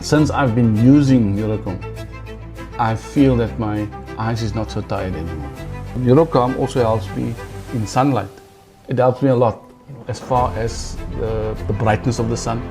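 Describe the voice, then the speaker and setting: Casting: man, late fifties, middle-pitched, sincere customer testimonial, unpolished and halting. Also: music in the background. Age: 50s. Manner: earnest, plain-spoken, halting with repeated words. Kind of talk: testimonial interview.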